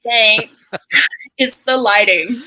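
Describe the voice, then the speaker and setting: A woman's voice: a little high, very slow, bright, forceful tone, rough recording. An Australian woman in her thirties, a guest chatting casually and laughing often. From Western Australia. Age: 30s. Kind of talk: casual interview chat.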